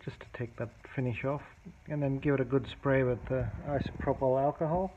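Man, medium pitch, some vocal fry, casual hobbyist demo, unscripted, faint background noise. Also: dark tone, lo-fi sound. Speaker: hobbyist repairer demonstrating a cassette recorder. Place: indoors at a cluttered home table. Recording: noticeable background noise, amateur home video.

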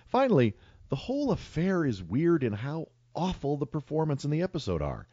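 Male podcast host, wry scripted delivery, wide-swinging pitch, dry slightly muffled sound. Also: huge pitch swings, normal speed, warm tone, no echo. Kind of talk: reading a prepared segment.

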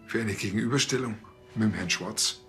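A man's voice: lowish voice, fairly quick, a bit bright, faint music behind, lightly acted. An actor playing a sly, cheeky small-town policeman with a teasing, colloquial way of talking.

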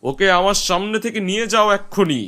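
A man's voice: high-pitched, lively intonation, fast talker, bright, forceful tone. A man voicing a haughty, hot-tempered village landlord in a cartoon, scolding and domineering. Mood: outraged. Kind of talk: cartoon voice acting.

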